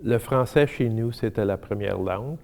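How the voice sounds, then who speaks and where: A man with a Franco-Manitoban accent giving a halting personal testimony. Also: on the low side, creaky, darkish tone, a little hiss or hum. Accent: Franco-Manitoban French. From Manitoba, Canada. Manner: halting, searching for words. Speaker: interviewee.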